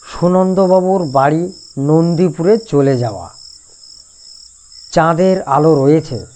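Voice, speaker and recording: A man's voice: on the high side, a little mellow. A man telling a scripted first-person horror story as its narrator.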